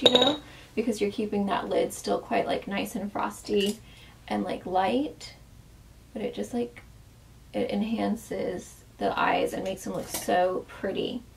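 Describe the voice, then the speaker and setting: Woman, makeup vlogger, gravelly cracking voice, losing her voice, chatty with fillers, slightly distant mic. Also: medium pitch, a little hiss or hum. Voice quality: voice cracks often. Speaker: beauty vlogger, former makeup artist. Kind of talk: casual makeup tutorial chat.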